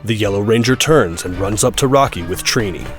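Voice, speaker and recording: A man reads scripted action narration for an audio drama. His pitch sits on the low side, and music plays clearly behind him. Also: lively intonation, fairly quick.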